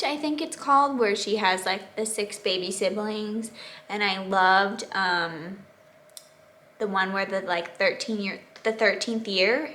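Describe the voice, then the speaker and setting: A woman in her twenties with an American accent, chatting casually to viewers as a vlogger in a bubbly, expressive, emphatic way. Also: medium pitch. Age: 20s. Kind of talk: casual question-and-answer chat to viewers.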